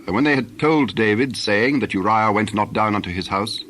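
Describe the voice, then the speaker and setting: A man's voice: lowish voice, normal speed, a bit bright, a little hiss or hum. A man narrating scripture from a script as part of a dramatized production.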